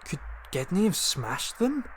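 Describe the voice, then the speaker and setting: A sombre man on a polar expedition, played by an actor reasons things out in a radio play. His speech is very slow and deliberate, over faint background noise. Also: sits high, sing-song. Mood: grim puzzlement. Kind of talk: radio drama acting.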